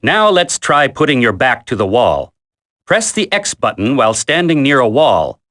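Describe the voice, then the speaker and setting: An actor playing a military instructor in a video game tutorial, coaching a recruit in a firm, deliberate, commanding way. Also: male voice, mid-range voice, big pitch swings, fairly bright tone. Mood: instructive, firm.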